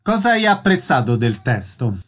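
A man's voice: medium pitch, sing-song, moderate pace, fairly bright tone. A man hosting a radio show, reading introductions and putting short questions to guests. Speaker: radio interviewer and host.

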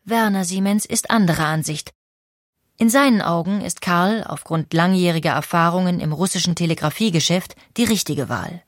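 A woman's voice: on the low side, normal speed, no room sound, studio quality. Woman narrating a history documentary. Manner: clear, even storytelling with rhetorical questions.

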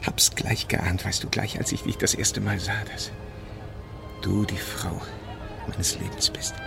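Ardent young Julien, animated. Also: male voice, fairly low pitch, measured pace, creaky, over loud music, heavily acted. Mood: tender, smitten. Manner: earnest, emotional. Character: Julien, earnest, ardent young French Egyptologist. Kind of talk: German radio play acting.

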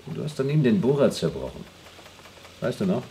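A man's voice: mid-range voice, big pitch swings, a little fast, noisy background, close to an everyday voice. An actor in a radio play playing an earnest, fussy male astronaut who talks in a pedantic way. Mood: fussy, businesslike. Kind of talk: radio drama acting.

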